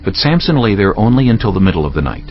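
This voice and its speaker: A man's voice: lowish voice, sing-song, fast talker. A man narrating scripture as a solemn, dramatic story. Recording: dull band-limited audio over music.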